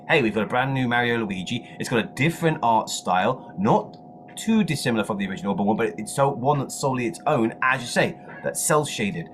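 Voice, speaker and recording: A man chatting enthusiastically about video game news as a co-host, excitable and repeating words for emphasis. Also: mid-range voice, slight background noise. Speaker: gaming show co-host.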